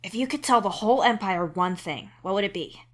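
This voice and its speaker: A woman's voice: medium pitch, animated, brisk, natural voice. A woman voicing an earnest, indignant male alien troll with a blunt, emphatic delivery. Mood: earnest, probing.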